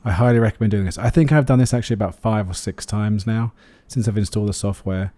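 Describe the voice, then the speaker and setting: Male review narrator for video, relaxed warm tone, close dry recording. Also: on the low side, fast, dry recording. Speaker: flight sim video host, reviewer. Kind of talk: scripted product review narration.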